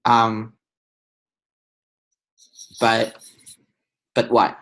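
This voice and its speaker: A man's voice: lowish voice, monotone, very slow, a bit bright, rough recording. A young man giving one-on-one feedback on a student's essay over a video call, thoughtful, with many ums and restarts. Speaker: writing tutor. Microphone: webcam on video call.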